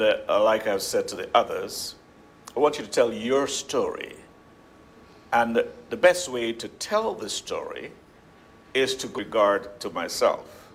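A man's voice: lowish voice, fairly bright tone, a little hiss or hum. A man, hosting an interview and introducing his guest formally. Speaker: talk show host. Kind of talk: unscripted interview.

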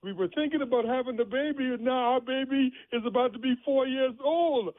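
A man's voice: extremely high pitch, fairly quick. A man from the Bahamas, chatting about faith on radio and often restating his phrases. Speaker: show co-host, radio interview guest. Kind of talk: conversational testimony on religious radio. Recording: tinny phone line.

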